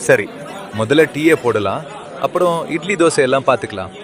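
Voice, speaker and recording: A man playing a lazy, boastful village husband with a casual manner in an animated moral story. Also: mid-range voice, normal speed, natural voice. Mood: carefree, casual. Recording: music bed with crowd murmur. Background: music and crowd sounds.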